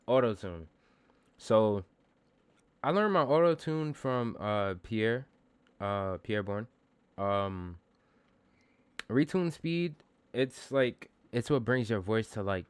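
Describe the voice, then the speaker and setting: A man with a bassy voice giving a casual, slangy music production tutorial, informal and self-deprecating, with some swearing. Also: smooth, no room sound.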